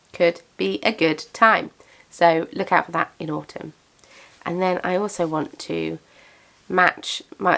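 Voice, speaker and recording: A woman's voice: fairly low pitch, creaky, a bit bright. A young woman chatting casually to camera about her craft business and plans, self-deprecating and a little congested from a cold. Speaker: craft business owner and vlogger.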